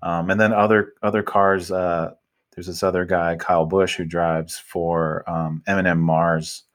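A man hosting a podcast, talking in a relaxed, conversational way and steering the topics. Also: deep voice, unhurried. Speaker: podcast host. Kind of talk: casual chat.

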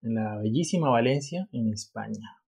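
A man's voice: mid-range voice, moderate pace, creaky, breathy edge. Playful young man co-host. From Colombia. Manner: playful, joking, animated. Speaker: podcast co-host. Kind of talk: casual chat.

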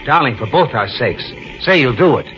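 A man playing an anxious, scheming smooth talker, urgent and insistent, in an old-time radio drama. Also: mid-range voice, lively intonation, normal speed, a little hiss or hum, close to an everyday voice. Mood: urgent, persuasive. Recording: muffled old radio recording.

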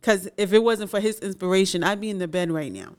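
Woman, co-host, relaxed banter, teasing, quick to laugh, mid pitch. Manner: playful, laughs often, teasing. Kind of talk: casual chat answering viewer questions.

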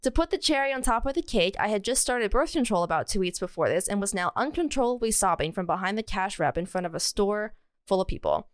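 Podcast co-host: young American woman, crisp voice, dry close sound, casual storytelling with offhand asides. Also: medium pitch, animated, fast, no echo. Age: young adult. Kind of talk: casual chat and reading out listener stories.

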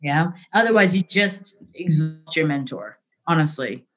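A middle-aged woman speaking as an interview guest, telling candid work stories in a lively, conversational way, often asking "right?". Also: deep voice, measured pace. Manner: animated, frequent "right?" and "like", laughs easily. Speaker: interview guest, business leader.

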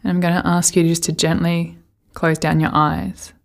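A woman speaks as a meditation guide in a fairly low register with a noticeable creak. Her intonation stays flat and steady. She gives soothing, gentle instructions, leaving unhurried pauses between phrases.

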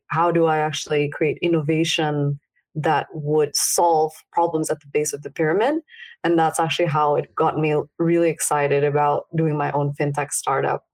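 A young woman from Indonesia talks about her work as a podcast guest. She speaks in a low voice and explains at length in an earnest way. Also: a little mellow. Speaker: startup founder guest. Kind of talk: casual interview answers.

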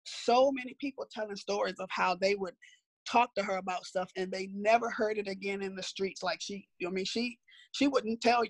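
A middle-aged woman with a raspy voice and a sore throat, talking reflectively with her daughter in a casual family conversation. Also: mid-range voice, steady tone, normal speed, no room sound, low-quality recording. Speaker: mother being interviewed by her daughter.

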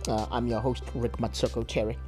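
A man's voice: medium pitch, level tone, fairly quick, warm tone, faint music behind. A man from Zimbabwe hosting a solo podcast, talking earnestly with many restarts and repeated words. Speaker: podcast host. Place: United Kingdom.

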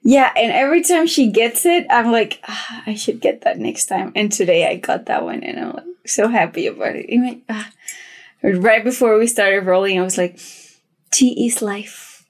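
A woman's voice: a little high, expressive, normal speed. A young woman co-hosting a podcast, chatting casually and enthusiastically, often laughing. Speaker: podcast co-host. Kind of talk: casual chat.

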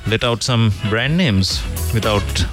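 Male morning radio host, low-ish voice, casual jokey delivery with rambling sponsor reads, soft music bed behind. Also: animated, slow pace. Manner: jokey, rambling, self-correcting. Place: radio studio.

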